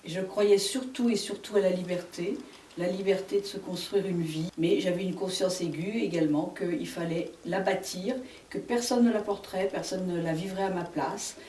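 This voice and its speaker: A woman's voice: fairly low pitch, a little mellow, a little hiss or hum. A woman in her fifties, a business owner, speaking in a composed, determined way. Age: 50s. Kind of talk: personal testimony. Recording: echoey distant mic.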